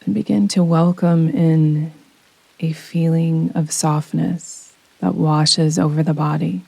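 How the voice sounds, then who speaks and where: Female meditation guide: soothing, very even intonation, long unhurried pauses, intimate dry sound with rain behind. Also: low voice, vocal fry, husky, no room sound. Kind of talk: guided yoga nidra relaxation meditation. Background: soft rain sounds.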